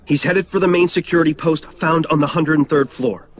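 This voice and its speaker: An actor playing an urgent, focused mission handler in a video game, giving brisk instructions. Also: male voice, a little high, fairly even intonation, slight background noise, close to an everyday voice. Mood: urgent, instructing. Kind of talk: video game cutscene acting.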